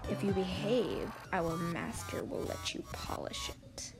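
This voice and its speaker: Girl doing creepy hissy bird monster bad guy, slow n breathy, music behind. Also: fairly low pitch, steady tone, whispered. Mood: gloating menace. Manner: slow, gloating. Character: menacing hissing bird-like monster, captor.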